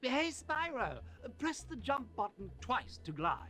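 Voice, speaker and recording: A man's voice: very high-pitched, sing-song, measured pace, bright, forceful tone, exaggerated voice. An actor playing a helpful male dragon in a video game who teases in a playful way. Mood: teasing. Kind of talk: game character dialogue. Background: game music.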